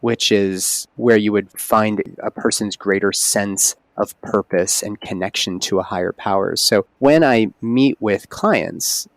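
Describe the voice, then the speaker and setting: A man's voice: lowish voice, a little slow, few pauses, no echo. A man speaking as a holistic OB-GYN guest on a podcast, explaining earnestly in casual conversation. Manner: earnest, explanatory, animated emphasis.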